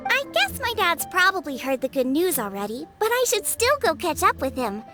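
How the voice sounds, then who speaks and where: Very high, bubbly girl chef. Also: sing-song, moderate pace, over loud music. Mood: friendly. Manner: perky, bubbly, earnest. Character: cheerful, eager young girl chef. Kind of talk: animated video game acting.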